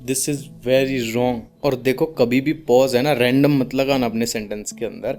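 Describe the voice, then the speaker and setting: A young man with an Indian accent and a deep voice gives informal speaking advice calmly, without strain, mixing in English words and leaving deliberate pauses. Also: soft background music. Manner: calm, unforced, deliberate pauses; mixes English words into speech. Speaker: speaking coach. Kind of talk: informal advice talk to camera.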